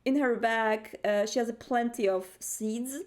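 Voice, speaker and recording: A woman's voice: on the high side, steady tone, unhurried, darkish tone. A woman playing a tabletop roleplaying game, describing her character off the cuff and hesitantly searching for words.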